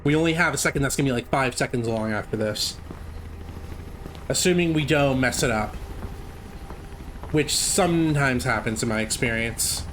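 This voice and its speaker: A man's voice: mid-range voice, normal speed, pauses often, fairly bright tone, a little hiss or hum. A man giving casual live commentary as he plays through a game speedrun. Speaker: gaming streamer, speedrun commentator. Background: game music and sound.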